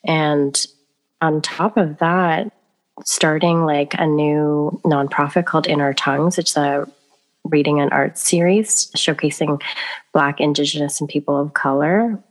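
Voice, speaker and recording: A woman's voice: sits low, steady tone, measured pace, low-quality recording. A woman who grew up in Canada, a guest on a casual podcast, talking over a remote call and laughing often. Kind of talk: casual conversation.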